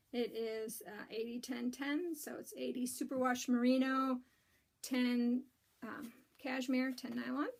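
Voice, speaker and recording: Raspy lady talking yarn, kinda high, lots of ums, crackly fry. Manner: rambling, many ums and restarts. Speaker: knitting and spinning podcaster. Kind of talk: casual show-and-tell chat.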